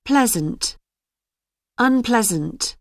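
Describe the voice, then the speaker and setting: A woman reading vocabulary pairs aloud slowly and deliberately for a language lesson. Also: a little high, expressive, no room sound. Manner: slow, deliberate word-by-word reading. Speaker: word-list reader. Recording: dry studio recording.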